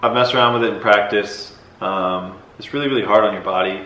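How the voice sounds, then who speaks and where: Laid-back young man, low echoey voice. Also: fairly even intonation, fairly quick, sharp tone. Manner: loose, hesitant, many fillers. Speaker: vlogger host and decathlete. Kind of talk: casual Q&A chat. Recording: echoey with faint noise.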